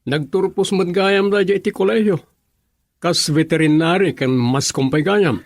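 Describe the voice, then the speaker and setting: A man's voice: high voice, moderate pace, vocal fry, no room sound, studio quality. A man acting a gossipy but levelheaded buddy who gives advice in an Ilocano radio drama.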